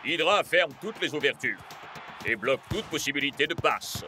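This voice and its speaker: A man's voice: a little high, animated, normal speed, music in the background, lightly acted. A man playing an earnest, protective football coach in a French cartoon dub, speaking in a serious, instructive way. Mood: serious warning.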